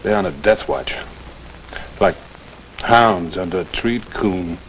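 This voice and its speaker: An actor playing a skeptical, laconic army scout in an old American TV western, dry, blunt and folksy. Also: male voice, low-pitched, slow. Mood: grim warning. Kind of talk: mid-century American TV western acting. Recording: noisy old TV soundtrack with rain.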